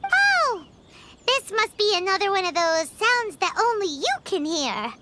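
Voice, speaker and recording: Tiny childlike fairy sidekick, squeaky, very high, lively swooping pitch, excitable, video game dialogue, loud background noise. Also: female voice, unhurried, full character voice. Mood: surprised. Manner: animated, exclaiming.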